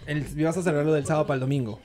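A man's voice: a little high, steady tone, racing, darkish tone, faint music behind. A young man with a Peruvian accent co-hosting a radio show in casual banter. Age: young adult. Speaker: radio co-host. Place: small radio studio, indoors. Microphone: studio microphone on boom arm.

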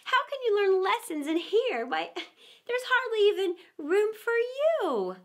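A woman's voice: very high-pitched, very animated, takes it slowly, voice pushed up, heavily acted. A woman voicing a curious young girl who muses and argues with herself in a storybook reading. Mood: musing. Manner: musing, self-questioning.

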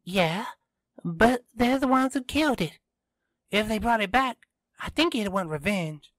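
A man voicing an excitable, argumentative teenage girl in a story, with an emphatic delivery. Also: sits high, unhurried, no echo, clean studio sound, voice pushed up. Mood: indignant, excited. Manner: emphatic, exclaiming.

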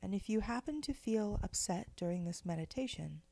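A woman leading a guided meditation in a gentle, measured, soothing way, with pauses between phrases. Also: mid-range voice, expressive, vocal fry. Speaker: guided meditation leader, spiritual director.

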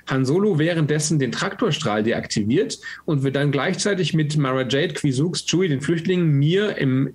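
Slightly husky man. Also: medium pitch. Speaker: player in a tabletop role-playing game. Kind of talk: casual recap of a game plan. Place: indoors, on a video call.

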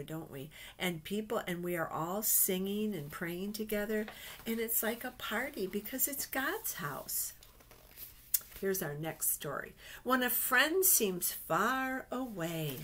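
A middle-aged woman tells children's Bible stories in a slightly low voice. She takes her time, reading from picture books and chatting directly to young listeners in simple phrases. She speaks at desk distance from a webcam in a small office. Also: fairly bright tone.

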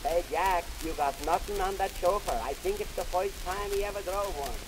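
Crackly 1920s 78 comedy record, guy doing a high-pitched grumbling wisecracker with yiddish accent, brash and cheap. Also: moderate pace, natural voice. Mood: exclaiming, wisecracking. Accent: Yiddish-inflected English. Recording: hissy, noisy 1920s 78 rpm record.

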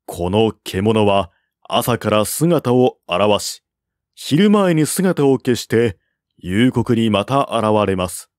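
Slow, measured male storyteller. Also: lowish voice, very animated, darkish tone, dry recording, clean studio sound. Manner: measured, formal storytelling delivery. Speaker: narrator, audiobook-style reader. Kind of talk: scripted narration of a historical Chinese novel.

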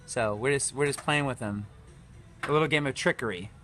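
A man's voice: medium pitch, fairly quick, a bit bright, faint music behind. A young adult man chatting casually and jokingly with friends, picked up by a handheld camera indoors. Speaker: boy band member making prank calls. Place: hotel-like living room, indoors. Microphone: handheld camera nearby. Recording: noisy handheld camera room sound.

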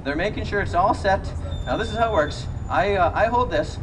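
Male puppeteer host, casual reassuring patter, recorded at a distance outdoors amid loud street noise. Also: high-pitched, fairly quick. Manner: encouraging, reassuring. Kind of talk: casual live show patter. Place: outdoor sidewalk show, amplified, street ambience.

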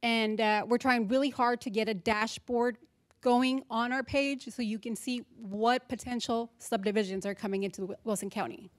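A woman's voice: a little high, fairly even intonation, normal speed. A woman county official speaking informally to residents at a public meeting about online updates. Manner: chatty, self-aware, informal. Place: large courtroom with audience.

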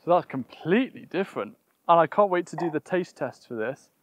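A man's voice: fairly high pitch, expressive, fairly quick, no room sound. A young man presenting a casual gardening vlog outdoors, explaining things and often thinking aloud. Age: young adult.

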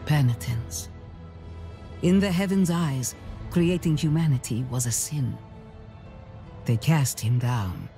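A woman playing a stern, zealous high priestess in a game cutscene, with a solemn, commanding and reverent delivery. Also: takes it slowly, long pauses, dark tone, soft background music, exaggerated voice. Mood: grave. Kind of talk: dramatic video game cutscene acting.

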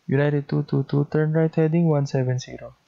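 A man's voice: fairly high pitch, steady tone, fairly quick, vocal fry. A man with a Filipino accent speaking in the clipped, procedural style of an air traffic control demonstration, on a dull lo-fi recording.

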